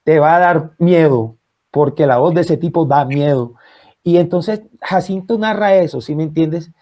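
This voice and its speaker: A man's voice: a little high, normal speed, mellow. A man from Colombia with a Caribbean accent, answering in an interview as a writer, emphatic and repeating phrases for effect.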